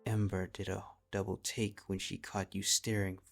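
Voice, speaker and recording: A man narrates a scripted piece of fan fiction. His voice sits very low, and music plays clearly behind him. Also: level tone, normal speed.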